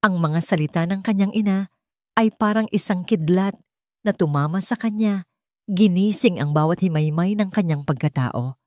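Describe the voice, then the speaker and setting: A woman's voice: lowish voice, normal speed, darkish tone, no echo, studio quality. A woman narrator reading a scripted dramatic story.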